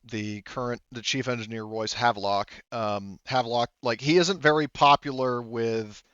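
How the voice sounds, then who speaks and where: A man's voice: mid-range voice, steady tone, moderate pace, a bit bright, clean tone, no echo, dull audio. A man running a tabletop roleplaying game, loosely narrating and relaying what characters say in an improvised way. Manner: loose, relays characters' speech in third person. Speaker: game master.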